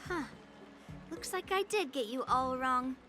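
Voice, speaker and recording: High-pitched, brusque young sword teacher. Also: female voice, lively intonation, a little slow. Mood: scornful. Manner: blunt, challenging. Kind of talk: scripted game dialogue. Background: game music.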